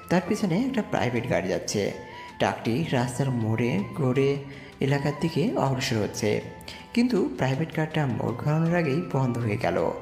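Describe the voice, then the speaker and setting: A man's voice: medium pitch, darkish tone. A man reading a scripted story in the first person with dramatised delivery. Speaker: narrator and lead character in an audio love story. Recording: echoey reverb with music behind. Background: music.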